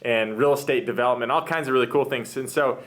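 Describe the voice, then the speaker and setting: A man's voice: mid-range voice, fast talker, a bit bright. Male interview host, mid-range voice, casual and enthusiastic, rambling conversational delivery.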